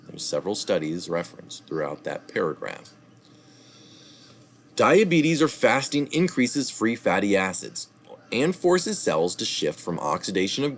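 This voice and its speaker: Male reader, low voice with a lively pitch, faint background hiss; steady article reading with asides. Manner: reads steadily, adding asides and correcting slips. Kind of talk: reading an article aloud with spoken citations.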